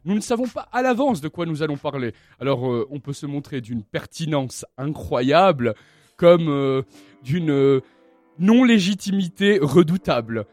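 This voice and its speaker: Young man, radio host, bright lively pitch, faint music behind. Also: unhurried, no room sound. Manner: lively, chatty, joking. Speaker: host of a student radio show. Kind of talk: live radio chat. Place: radio studio.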